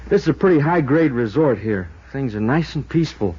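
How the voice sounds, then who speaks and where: An American actor playing an easygoing, cautious small-town sheriff with a hesitant, dry manner in a 1950s radio drama. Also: male voice, medium pitch, expressive, fairly quick, a little mellow, breathy edge. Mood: cautioning. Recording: muffled old radio recording.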